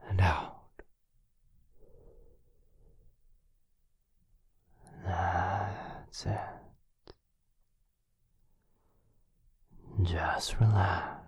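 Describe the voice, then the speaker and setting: A man playing a gentle, protective vampire lover in an intimate boyfriend ASMR roleplay, tender and hushed, with a breathy, husky voice. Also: low voice, no echo, exaggerated voice. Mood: hypnotic, soothing. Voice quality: breathy, husky, often whispering. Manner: tender, hesitant, reassuring, hushed. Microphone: close microphone.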